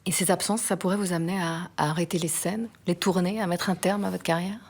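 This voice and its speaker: A woman conducting an interview, asking polite, formal, measured questions. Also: on the low side, steady tone, slight background noise.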